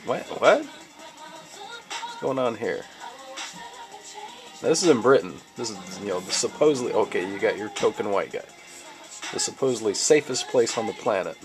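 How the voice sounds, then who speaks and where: A man's voice: on the low side, huge pitch swings, moderate pace, long pauses, over music. An American man giving casual off-the-cuff reaction commentary in a scoffing, sarcastic manner. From United States. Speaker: amateur video commentator. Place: indoors in a dim home room, filming a screen.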